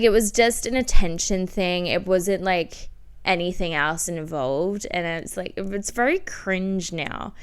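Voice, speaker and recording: A young woman telling personal stories casually as a podcast host, in a slangy, self-mocking, animated way. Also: on the low side, clean tone. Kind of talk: casual confessional storytelling.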